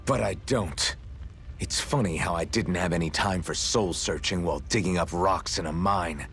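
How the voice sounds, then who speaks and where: A man's voice: low-pitched, moderate pace, a bit bright, slight background noise. An actor playing an earnest young swordsman in a video game, guarded, questioning and understated. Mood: subdued, sincere. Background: background music.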